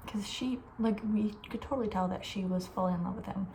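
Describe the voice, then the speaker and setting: A woman talking softly and breathily in a casual, opinionated ASMR ramble, often slipping toward a whisper. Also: medium pitch, steady tone, a little fast, a little hiss or hum, rough recording. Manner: chatty, informal, opinionated, trailing off. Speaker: ASMR video host. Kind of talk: soft-spoken ASMR, casual rambling chat.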